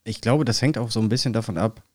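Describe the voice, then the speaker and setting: A man talking in a calm, measured way in a casual chat, with dry humor. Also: on the low side, dry recording.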